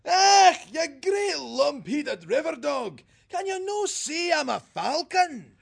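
A man voicing a shrill young male falcon with a Scottish accent and a squawking, drawn-out way of talking. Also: wildly swooping pitch, exaggerated voice. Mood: indignant. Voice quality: shrill, gravelly.

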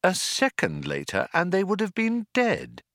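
Storybook heroine male reader: bright, patient, firm woman, soothing lilt, highly animated. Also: unhurried, creaky, dry recording, clean studio sound, exaggerated voice. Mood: patient, reproachful. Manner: lilting, soothing.

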